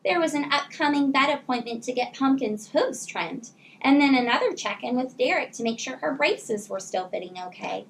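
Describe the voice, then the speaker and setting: High-voiced woman reading a children's story. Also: expressive, normal speed, slight background noise, rough recording. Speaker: teacher reading a children's book aloud. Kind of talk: expressive read-aloud storytelling for children.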